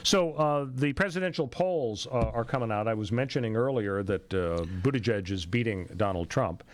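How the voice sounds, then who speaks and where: A man's voice: medium pitch, lively intonation, moderate pace, no echo. American man hosting talk radio, dry studio sound. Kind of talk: conversational political talk radio commentary.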